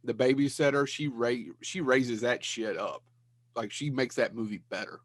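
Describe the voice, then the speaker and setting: A man's voice: mid-range voice, moderate pace, no room sound. A man co-hosting a podcast, trading casual banter with wisecracks and opinionated interjections. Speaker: podcast co-host. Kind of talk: casual group banter about films.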